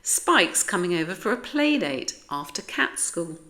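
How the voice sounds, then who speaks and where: A woman's voice: on the low side, big pitch swings, unhurried, sharp tone, exaggerated voice. A British woman voicing a cheerful mother cat, kindly but firm, chivvying and reassuring in a storybook.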